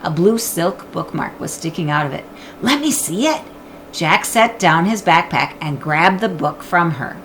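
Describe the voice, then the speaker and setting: A woman narrating a children's book in an expressive storytelling style. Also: deep voice, moderate pace, few pauses, a little hiss or hum, poor audio. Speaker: audiobook reader.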